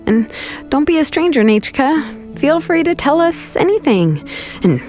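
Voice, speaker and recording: A woman's voice: high voice, big pitch swings, unhurried, a little mellow. A woman voicing a kind, confident, easygoing young woman in a video game, casual and reassuring. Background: music.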